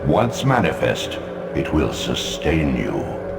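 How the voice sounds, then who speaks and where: Very deep, echoing elder god. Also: male voice, sing-song, slow, creaky, exaggerated voice. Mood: solemn, commanding. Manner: solemn, oracular, commanding. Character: ancient, commanding elder god, solemn and omniscient. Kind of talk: portentous video game cutscene acting. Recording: echoing voice under loud music.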